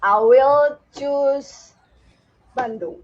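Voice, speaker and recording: An upbeat woman from Indonesia introduces herself on a video call. Her voice sits a little high. The audio is dull and lo-fi. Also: drawn-out words. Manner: cheerful, excited. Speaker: quiz participant. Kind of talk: casual live video chat.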